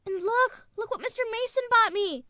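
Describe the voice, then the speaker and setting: A woman playing an eager, excitable young boy with a childlike voice in an old-time radio drama. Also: very high voice, normal speed, no room sound, studio quality.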